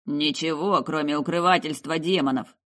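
A woman voicing a gruff, suspicious male military commander in an audiobook, curt and grumbling. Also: low voice, measured pace, fairly bright tone. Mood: grumbling, accusing.

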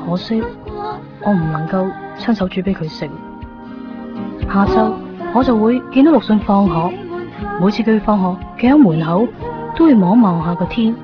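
A woman's voice: medium pitch, natural voice. An actress playing a young woman who secretly follows the man she loves, narrating her thoughts in an intimate, wistful way. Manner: intimate, wistful inner monologue. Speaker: lead character and narrator. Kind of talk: 1990s radio drama acting. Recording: dull lo-fi radio play with music.

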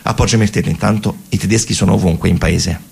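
Man, theatrical narrator, gravelly creak, husky, colloquial, short repeated phrases, radio drama monologue. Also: sits low, fairly even intonation, fast, darkish tone. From Italy. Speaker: storyteller actor.

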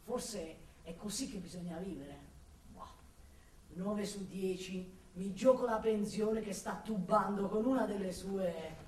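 An actress performing a radio drama monologue as a weary, anxious, brooding and tender-hearted police officer. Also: female voice, medium pitch, a little slow, a little mellow, far from the mic, a little hiss or hum, lightly acted. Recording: very echoey room, off the mic, music behind.

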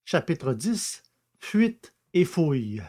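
A man's voice: on the high side, very animated, drawn-out words, mellow, husky, no echo, clean studio sound. A man reading scripted narration as the narrator of an audio serial.

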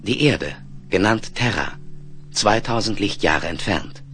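An actor playing a curious, steadfast male space explorer in a 1970s radio play, earnest, deliberate and emphatic. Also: fairly low pitch, animated, fairly bright tone, soft background music. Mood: composed, resolute.

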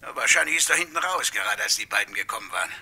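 A man's voice: on the low side, lively intonation, racing, gravelly, pushed and bright, slightly rough, exaggerated voice. An actor playing a chatty barkeeper turned eager witness in a German radio crime drama, hesitant and rambling as he informs.

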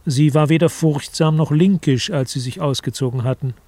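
A man narrating a literary radio drama in a composed, deliberate storytelling manner. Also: on the high side, mellow, dry recording. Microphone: close studio microphone.

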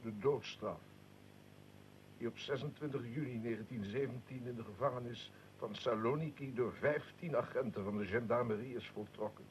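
A man acting a conspirator officer in a radio play, with a mid-pitched voice. He is formal and blunt, yet deferential and apologetic, recorded with a faint hiss and rumble behind him. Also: moderate pace, a little mellow, natural voice, poor audio. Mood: resigned.

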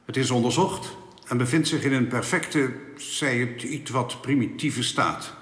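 A man's voice: medium pitch, fairly bright tone, lightly acted. A man playing a formal alien councillor in a reverberant hall. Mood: formal, cool. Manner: formal, measured, authoritative. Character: formal, unbending alien councillor delivering official decrees. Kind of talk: radio drama acting. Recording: echoey hall with long reverb.

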